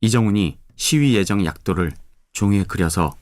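A man narrating an audio drama in a measured, even storytelling manner. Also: fairly low pitch, no echo.

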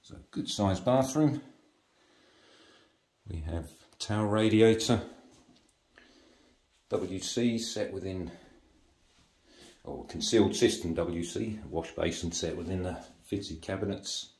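A man giving a matter-of-fact, halting walk-through commentary as an estate agent, listing features as he moves through a house. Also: deep voice, a little mellow, breathy edge. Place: walking through rooms of a house.

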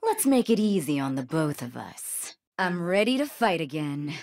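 An actress voicing a cocky, wry female bounty hunter sniper from a video game, with a swaggering, deliberate delivery. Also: sits low, big pitch swings, darkish tone, no echo, clean studio sound. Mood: cool, cocky. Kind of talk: video game voice lines, short combat barks and quips. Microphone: close studio microphone, dry.

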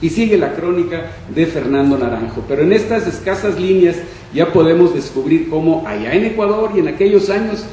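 An older man in his sixties with a northern Mexican accent speaks in a fairly high, soft-edged voice. He reads from prepared notes like a deliberate storyteller. The sound comes from a very echoey room, picked up at some distance from the mic. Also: lively intonation, a little hiss or hum. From Monterrey, Mexico. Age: 60s. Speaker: journalist, panel speaker. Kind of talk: prepared talk, reading from notes aloud. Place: conference room panel, indoors. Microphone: shared table microphone on stand.

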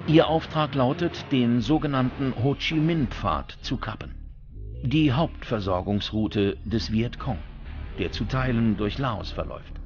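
A man's voice: mid-range voice, measured pace. A man reading scripted documentary narration as the narrator. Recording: dull recording with music bed. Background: background music.

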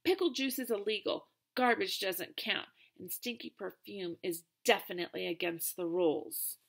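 A woman voicing an excitable, whiny young boy who wails in high, shrill outbursts. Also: moderate pace, creaky. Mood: insistent.

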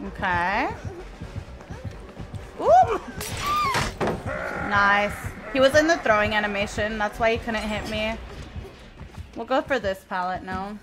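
A woman's voice: medium pitch, lively intonation, slow, long pauses, over loud music. A woman whose first language is Spanish, streaming live gameplay and chatting with her viewers while she plays. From Mexico. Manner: chatty, reads and answers chat while playing.

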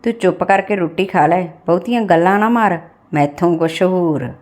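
A woman's voice: on the low side, normal speed, a little mellow, close to an everyday voice. A woman narrator voicing a spiteful, sarcastic village wife in a story, taunting and scolding. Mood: curt scolding. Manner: sarcastic, nagging.

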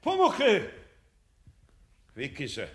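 An actor playing a good-natured older craftsman in a radio play, a homely man with a husky voice and Bavarian accent. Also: high-pitched, huge pitch swings, very slow, heavy background noise, full character voice. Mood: amused. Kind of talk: radio play dialogue acting.

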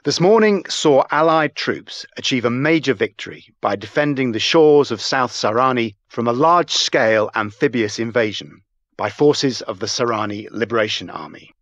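A man's voice: medium pitch, lively intonation, fairly bright tone, dry recording, highs cut off. Formal male news anchor, dry studio. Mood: solemn. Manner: formal, measured. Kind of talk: scripted newscast in a game cutscene.

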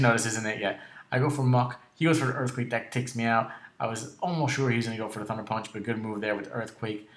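A man with a raspy, sore voice, slightly short of breath, narrating a video game battle in a casual, halting way. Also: medium pitch, roomy sound. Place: indoor room at home.

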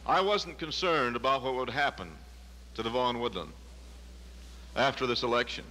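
A man's voice: mid-range voice, moderate pace, bright, forceful tone. A man, a newly elected organization president, giving an emphatic rallying convention speech from a podium. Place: large convention hall. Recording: reverberant convention hall with hum.

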